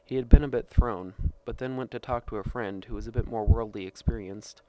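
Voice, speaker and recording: A man's voice: fairly low pitch, fairly quick, dark tone, slight background noise, rough recording. A man reading a written personal story aloud. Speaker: reader of personal testimony.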